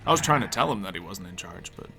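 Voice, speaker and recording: A man's voice: low voice, lively intonation, fast, creaky, bright, forceful tone, faint music behind, heavily acted. A man playing a level-headed, questioning survivor and confidant in an improvised zombie game roleplay, speaking conversationally. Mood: calm, reassuring.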